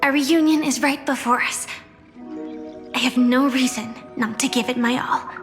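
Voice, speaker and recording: An actress voicing a gentle, frail young woman in a video game, soft and breathy, wistful and quietly resolute. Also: sits high, steady tone, music in the background, lightly acted. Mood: tender, resolute. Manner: slow, dreamy, tender.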